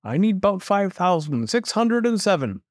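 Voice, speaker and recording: A man's voice: high voice, sing-song, moderate pace, dry recording, studio quality, voice pushed up. A man voicing a pompous, bossy, boastful turtle king from a storybook, with an imperious, bellowing delivery. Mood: indignant. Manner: bellowing, self-important, imperious. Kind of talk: storybook character voice.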